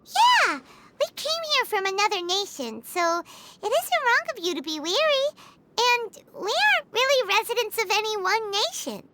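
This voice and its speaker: A woman voicing a small, cheerful, earnest childlike fairy companion with a squeaky voice in a video game. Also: background noise, exaggerated voice. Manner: perky, earnest, speaks as "we". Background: music.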